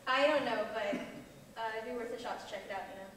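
Teen girl as a high-voiced blunt friend, echoey hall. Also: fast, lightly acted. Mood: reassuring. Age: teens. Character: blunt, advice-giving young female friend. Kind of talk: high school stage play acting. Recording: echoey auditorium stage from far off, noisy.

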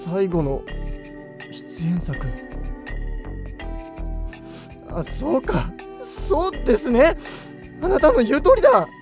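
A man's voice: very high-pitched, huge pitch swings, measured pace, heavily acted. A senior studio man in an audio drama, intense and emotional. His intonation swings widely and his phrasing is blunt, with faint music behind. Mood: doubtful, then moved. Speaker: voice actor. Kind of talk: Japanese audio drama acting.

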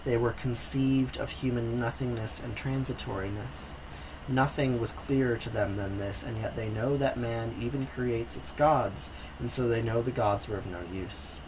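A young man reading a mystical text aloud in a slow, solemn way, in a small room at a camera on his desk. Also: medium pitch, background noise. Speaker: reader. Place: small decorated room indoors.

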